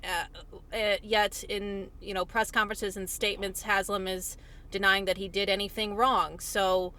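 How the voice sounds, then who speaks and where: A woman working as a television reporter, asking polite, somewhat halting questions in a phone interview. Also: mid-range voice, flat delivery, sharp tone.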